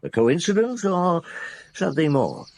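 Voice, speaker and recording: Radio drama lead: ruminative middle-aged police inspector, husky, animated pitch, given to thoughtful grunts. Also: male voice, unhurried, full character voice. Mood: pondering. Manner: ruminative, hesitant, with grunts and hums. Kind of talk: radio drama acting and first-person narration.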